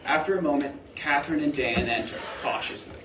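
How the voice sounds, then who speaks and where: A man narrates stage directions for a play reading. His voice is on the high side for a man, picked up from a distance in a very echoey room with a little background noise. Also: a little fast.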